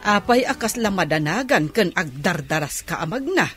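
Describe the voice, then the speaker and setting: A woman's voice: lowish voice, very animated, normal speed, fairly bright tone, soft background music. A woman playing a nosy, teasing older neighbour in a radio drama, inquisitive, with an Ilocano accent. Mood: nosy, surprised.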